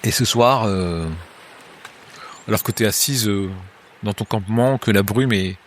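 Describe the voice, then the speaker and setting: A man with a low voice guides a player through a tabletop horror game, describing the scene in the second person. He talks haltingly, with many hesitations and restarts. A faint noise sits under his voice. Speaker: game master.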